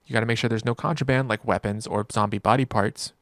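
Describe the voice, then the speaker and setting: A man's voice: on the low side, steady tone, fast talker, dry recording, studio quality. A man narrating a video game review in an informal, wry, joking way, with casual reactions. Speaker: gaming video creator.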